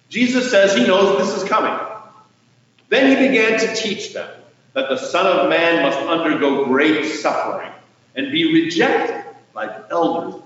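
An American man preaching a sermon as a pastor, speaking deliberately with rhetorical questions and pauses for emphasis. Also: fairly high pitch, fairly bright tone. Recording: echoey hall, distant mic, muffled sound.

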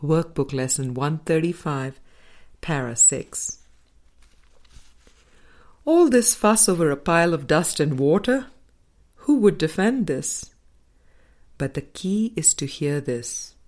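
A woman narrating a spiritual audiobook, reading scripted text in measured, deliberate phrases. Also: low voice, very animated, darkish tone. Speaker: audiobook reader. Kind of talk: scripted spiritual book narration, read aloud.

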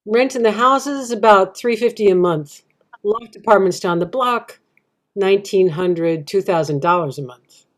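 A woman's voice: medium pitch, moderate pace, lightly acted. A woman reading as a local resident, giving facts in a matter-of-fact, informative way. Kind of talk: readers theatre monologue.

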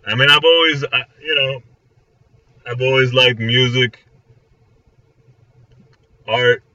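A man's voice: mid-range voice, slow pace, sharp tone, no room sound. A man in his twenties with an American accent, talking casually in a conversation. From Florida, United States. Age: 20s. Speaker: recent architecture graduate in conversation. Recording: dry but distant, muffled mic.

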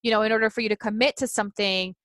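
A woman's voice: mid-range voice, steady tone, rapid-fire, a bit bright, dry recording. Woman, 30s, native Portuguese speaker, earnest interview guest, thinks aloud with restarts, dry close recording. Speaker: life coach guest. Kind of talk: casual interview chat.

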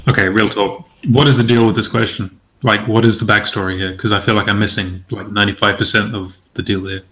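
A man's voice: on the low side, little pitch movement, fairly quick, a bit bright, slight background noise, rough recording. A man chatting casually as an interview guest, rambling and self-deprecating.

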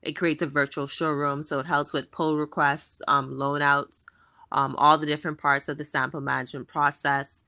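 A woman in her thirties from the Bahamas talks as an interview guest, chatty and upbeat. Her voice sits low yet rings bright and forceful. The sound is dry and close. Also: flat delivery, moderate pace, creaky, no echo. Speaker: guest, fashion tech founder. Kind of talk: casual interview conversation.

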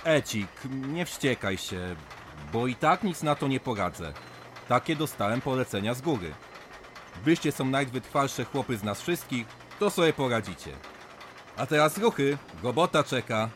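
Male actor as a brisk mine foreman barking orders, mid pitch, faint mine hum. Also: expressive, a bit bright, natural voice. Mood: commanding. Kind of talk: radio drama acting.

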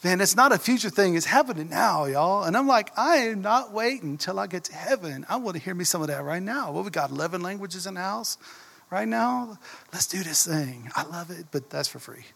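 Preacher guy, bright kinda high voice, folksy, goes down to a whisper sometimes, big echoey church. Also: fairly quick, gravelly. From Texas. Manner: folksy, animated, drops to a whisper for emphasis. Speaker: pastor. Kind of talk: sermon.

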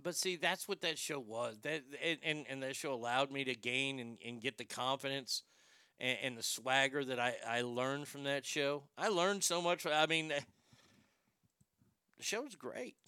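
A middle-aged man hosting a radio show, telling crude, brash stories in a high tenor voice. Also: a little slow, clean tone, no echo, studio quality. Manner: crude, brash, animated storytelling with profanity. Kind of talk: casual storytelling monologue.

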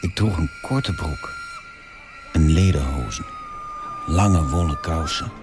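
A wistful man recalling his childhood in a radio play. The voice is very low and speaks in measured, reflective fragments. Music plays clearly behind it. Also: sing-song, full character voice. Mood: wistful, reminiscing. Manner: measured, reminiscing in short fragments. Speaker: narrator of a radio drama. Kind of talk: slow, reflective radio drama narration.

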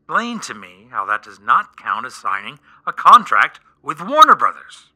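A man's voice: mid-range voice, huge pitch swings, normal speed, bright, forceful tone, breathy edge, full character voice, low-quality recording. A man playing a blunt stoner friend in a radio play, direct and profane, supportive but exasperated. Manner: profane, direct, pep-talking. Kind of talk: naturalistic comedic radio play acting.

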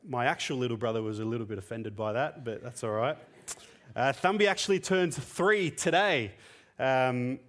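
Earnest conversational male preacher. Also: on the high side. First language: Tamil. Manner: earnest, conversational, with frequent fillers. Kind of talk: sermon.

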